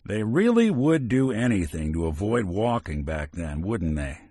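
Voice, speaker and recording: An actor voicing a weary synth detective in a video game, a gruff middle-aged man with a dry, wisecracking manner. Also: lowish voice, very animated, measured pace, dark tone, no room sound. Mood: wry. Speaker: companion character. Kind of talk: game character barks.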